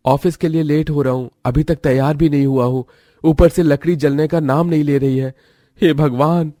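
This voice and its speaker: A man playing a kind, poor young man who talks earnestly and apologetically. His voice is a bit high for a man, recorded dry and close. It fits an animated family drama. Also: normal speed, no echo, lightly acted. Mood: flustered.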